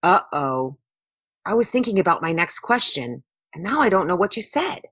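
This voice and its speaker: An excitable, easily distracted young girl from a children's storybook, played by a woman. Her pitch swings in a lively way, and the recording is dry and close. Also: moderate pace, slightly rough, dry recording, full character voice. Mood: flustered. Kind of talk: children's storybook read-aloud.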